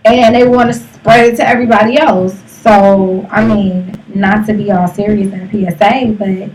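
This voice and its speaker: A woman's voice: medium pitch, steady tone, normal speed, a little mellow. A woman in her thirties hosting a live streamed talk show with blunt, brash, slangy and profane casual banter. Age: 30s. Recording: noisy, distant live stream mic.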